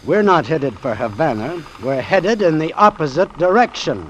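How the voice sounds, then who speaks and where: Suspicious doctor-narrator, old-time radio suspense, terse delivery, animated pitch, music underneath. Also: male voice, normal speed, muffled recording. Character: wary, hard-boiled doctor narrating his sea adventure.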